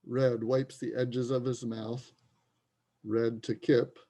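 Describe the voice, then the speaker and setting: Creaky, mellow male narrator. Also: mid-range voice, level tone, slow. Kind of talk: reading stage directions, scripted narration.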